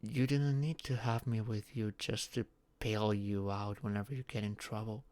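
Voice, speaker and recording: A man's voice: lowish voice, fairly even intonation, normal speed, darkish tone, close to an everyday voice. A man playing a shy, flustered young social outcast in a romantic audio roleplay, speaking hesitantly with stammers and sighs. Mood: tender, vulnerable.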